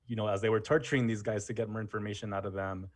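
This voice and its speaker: A history teacher talking casually as an interview guest, full of "you know" and "um" fillers. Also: male voice, fairly low pitch, fairly even intonation, very fast, darkish tone. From United States. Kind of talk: casual conversation.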